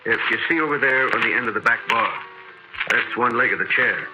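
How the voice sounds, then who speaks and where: A man's voice: medium pitch, a little fast, very noisy, lightly acted. An actor playing an uneasy, superstitious barman with folksy, ungrammatical American talk, in 1940s radio drama style. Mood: spooked, confiding. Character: uneasy, superstitious old-time barman who believes in ghosts. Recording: old radio recording with music behind.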